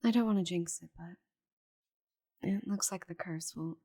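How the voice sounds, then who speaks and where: A proud, stubborn she-dragon, played by a woman in an intimate ASMR roleplay. Her voice is low and gravelly with a husky grain. She speaks dryly, with grudging affection. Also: warm tone. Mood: dry, resigned. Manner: proud, dry, halting when flustered. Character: giant stubborn female dragon, cursed to shrink, grudgingly affectionate.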